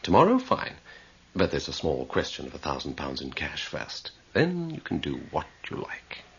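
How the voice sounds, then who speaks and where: Sceptical, mercenary gentleman for radio drama: bottomless husky voice, polite, dry, theatrically varied. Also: extremely low pitch, huge pitch swings, normal speed, slight background noise, exaggerated voice. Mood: cocky, dismissive. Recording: dull old radio recording.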